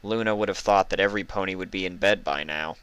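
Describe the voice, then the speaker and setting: Deep, crisp male story narrator. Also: fast talker, a bit bright, no room sound. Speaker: fan fiction reader, narrator. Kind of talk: dramatic scripted story narration.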